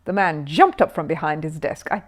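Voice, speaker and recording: A woman's voice: low voice, animated, moderate pace. A woman narrating a children's story expressively from a written text.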